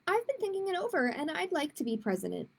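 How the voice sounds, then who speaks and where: Woman voicing a high-pitched determined little schoolgirl. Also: big pitch swings, fast talker, vocal fry, slight background noise, full character voice. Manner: emphatic, questioning. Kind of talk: children's storybook read-aloud.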